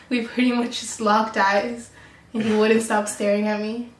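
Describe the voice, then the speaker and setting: A young woman from Mexico chats slowly and easily in a casual Q&A, her voice affected by a cold. She keeps giggling and talking in a relaxed way. She sits some distance from the mic in a room that echoes noticeably. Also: a little high. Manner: chatty, unhurried, giggly. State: sick, voice affected. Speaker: vlog co-host. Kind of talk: casual Q&A chat.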